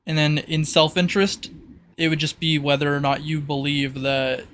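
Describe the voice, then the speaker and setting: Higher-voiced man explaining as game master. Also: fairly bright tone, slight background noise. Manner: casual, explanatory. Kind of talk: improvised narration of tabletop roleplay.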